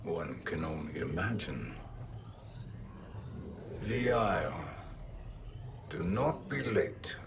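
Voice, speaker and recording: A smug, scheming sorcerer drawls in a low voice at a very slow pace. He sounds sinister and calculating. A steady hiss of ambient noise sits behind him. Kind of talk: video game cutscene acting.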